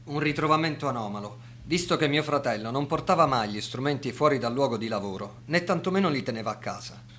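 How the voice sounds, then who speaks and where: An actor playing the grave, urgent brother of a dead doctor in a radio drama. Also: male voice, mid-range voice, animated, fast talker, a bit bright, natural voice. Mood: firm, grave.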